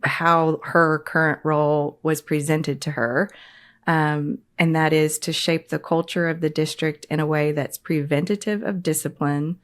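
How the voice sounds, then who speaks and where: Woman, podcast co-host, very low voice, relaxed intro. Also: a little slow. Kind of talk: introducing a guest.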